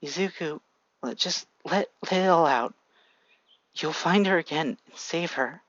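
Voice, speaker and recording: A man voicing a gentle middle-aged mother, speaking softly and soothingly. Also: on the high side, a little slow, no room sound, muffled recording, voice pushed up.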